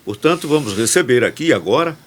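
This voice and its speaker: A man's voice: medium pitch, animated, a little fast. A man with a Brazilian accent hosting a podcast, introducing and interviewing in a conversational way.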